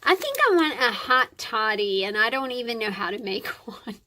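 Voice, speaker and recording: A woman's voice: a little high, lively intonation, normal speed, sharp tone. A woman with a scratchy, stuffed-up voice chatting casually and in a friendly way as she shows craft items to her viewers. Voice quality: scratchy, croaky; stuffy nose. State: scratchy throat and congestion. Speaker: craft channel host. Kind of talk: casual show-and-tell chat.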